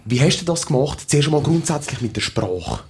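Swiss German male host, very husky, slightly higher voice, chatty. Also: animated, normal speed, a little mellow. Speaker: radio show host. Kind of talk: interview hosting.

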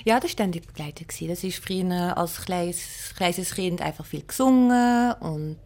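A woman's voice: on the low side, a little slow, a little mellow. A woman from Belgium and Luxembourg, answering in a conversational radio interview, reflective and matter-of-fact. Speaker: musician interview guest, piano and singing teacher.

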